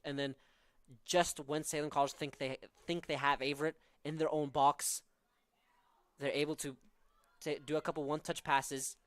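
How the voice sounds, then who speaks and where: A man's voice: a little high, fairly bright tone, clean tone, dry recording. Norwegian-accented man calling soccer. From southern Norway. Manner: analytical, tactical, with many fillers. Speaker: play-by-play commentator. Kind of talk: live college soccer commentary.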